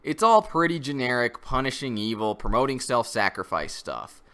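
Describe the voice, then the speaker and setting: A man's voice: medium pitch, animated, moderate pace, sharp tone, clean tone. A man giving an opinionated, conversational review commentary, critical and semi-scripted. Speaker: host of a comic review channel.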